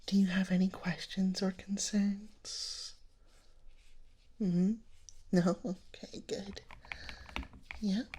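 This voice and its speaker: Slow whispering dragon nurse, played by a woman. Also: medium pitch, level tone, slight background noise. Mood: gentle, reassuring. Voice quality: soft, breathy whisper. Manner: slow, soothing, reassuring clinical bedside talk. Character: gentle reassuring female dragon nurse giving hearing exam. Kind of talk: ASMR roleplay.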